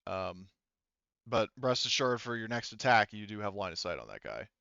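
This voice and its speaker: A man acting as game master for a tabletop game over voice chat, pausing often with ums as he works through the rules. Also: on the low side, big pitch swings, gravelly, pushed and bright, no room sound, dull audio. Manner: halting, many ums and restarts while narrating rules. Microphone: headset.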